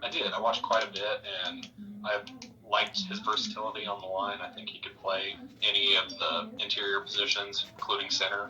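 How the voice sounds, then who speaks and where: A man working as a draft analyst, answering interview questions in a measured, thoughtful way. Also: on the low side, level tone, pushed and bright, recorded from a distance, muffled recording.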